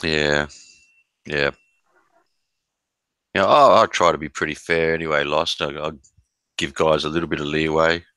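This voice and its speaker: A man's voice: extremely low pitch, fairly even intonation, moderate pace, pauses often, bright, forceful tone, clear, resonant tone, no room sound. A man hosting a live stream from his laptop, chatting casually in a laid-back, rambling way. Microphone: laptop mic.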